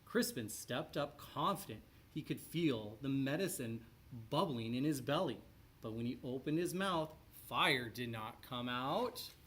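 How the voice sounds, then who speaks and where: Male storybook narrator for children: animated, varied intonation. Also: mid-range voice, measured pace. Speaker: storybook reader.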